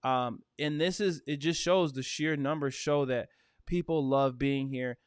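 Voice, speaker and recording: A man's voice: mid-range voice, unhurried, clear, resonant tone, dry recording, studio quality. A man, a realtor chatting casually and upbeat on a livestream, with plenty of "uh" and "you know". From Michigan. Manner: chatty, upbeat, with frequent fillers.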